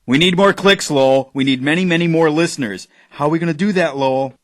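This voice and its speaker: An actor playing a pushy, sardonic boss of a struggling internet radio company in an audio drama. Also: male voice, a little high, fast, close to an everyday voice. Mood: pressing, worried.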